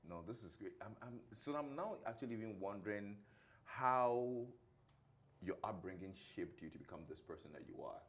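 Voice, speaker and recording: Low-voiced male radio host. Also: expressive, moderate pace. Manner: attentive, many short acknowledgements. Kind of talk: radio interview.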